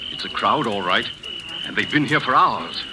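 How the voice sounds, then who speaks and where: A man's voice: lowish voice, expressive, normal speed, natural voice. Blunt village ringleader, played by a man, heavy noise. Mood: menacing. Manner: blunt, commanding. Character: hostile, impatient male leader of village crowd. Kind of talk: old-time radio mystery acting. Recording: very noisy old radio recording.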